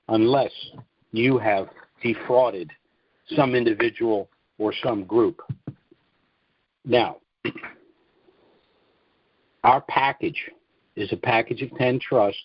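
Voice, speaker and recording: Male American call host, measured halting monologue, dry and slightly lo-fi sound. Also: medium pitch, dry recording. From United States. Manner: deliberate, halting, frequent throat-clearing. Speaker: conference call host promoting trust services. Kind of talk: informal monologue on a conference call. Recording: dull, dry conference call audio.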